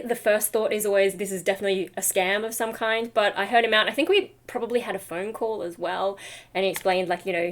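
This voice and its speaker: A woman chatting casually for a vlog in a tired, rambly, self-deprecating way with trailing asides. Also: mid-range voice. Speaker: vlogger and metal vocalist.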